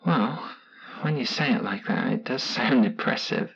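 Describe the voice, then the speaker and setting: An actor playing a stranded spaceship technician, wry and defiant, informal and sweary, in a sci-fi audio drama. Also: male voice, medium pitch, measured pace, vocal fry, fairly bright tone, reverberant, highs cut off, slight background noise. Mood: wry, weary.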